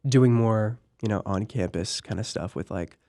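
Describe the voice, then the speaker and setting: A young man hosting a casual podcast in a campus studio, a little hoarse from a cold and laughing often. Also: on the low side, moderate pace, warm tone. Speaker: podcast host.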